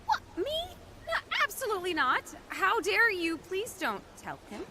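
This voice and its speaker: Squeaky high flustered hero, defensive and over the top, noisy video game audio. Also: female voice, big pitch swings, normal speed, full character voice. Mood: guilty, indignant. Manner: defensive, exaggerated. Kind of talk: comic video game dialogue.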